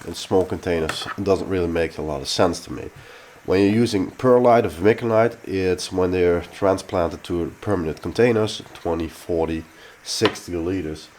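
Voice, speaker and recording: A man from the Netherlands with a Dutch accent, speaking English as a second language, frankly explaining how he grows peppers in a casual vlog. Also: low-pitched. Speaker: pepper grower and fertilizer maker.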